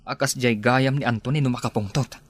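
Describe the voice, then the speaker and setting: Radio drama household gossip played by a man: middle pitch, slightly rough, dry close sound, concerned. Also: steady tone, fairly quick, dry recording, natural voice. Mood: gossipy, concerned.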